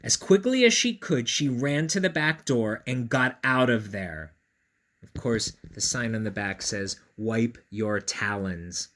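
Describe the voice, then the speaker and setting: A man reads a children's picture book aloud, his pitch swinging freely up and down. He is playful and expressive, stepping out of the text to chat directly with young listeners. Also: a little slow. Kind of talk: animated read-aloud with chatty asides.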